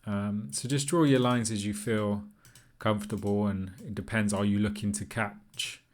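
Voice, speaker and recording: Man, lowish pitch, informal explainer, tutorial presenter, tentative, easygoing, thinking aloud. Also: steady tone, moderate pace.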